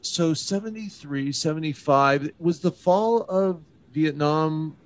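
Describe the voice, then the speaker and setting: A man's voice: a little high, slow. A man hosting a radio talk show, with an upbeat, emphatic announcer delivery as he interviews a guest.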